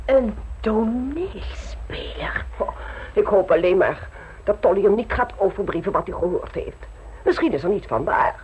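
A woman's voice: mid-range voice, lively intonation, normal speed, husky, muffled recording. An actress playing an anxious, commanding upper-class mother in an old radio play, dramatic and proper.

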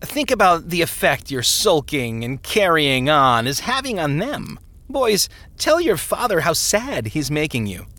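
A man's voice: on the high side, big pitch swings, measured pace, pushed and bright, no echo, voice pushed up, heavily acted. A man voicing a smug, falsely genial parson in an audiobook story, talking down in a condescending way. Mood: genial, probing. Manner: condescending, hesitating mid-phrase.

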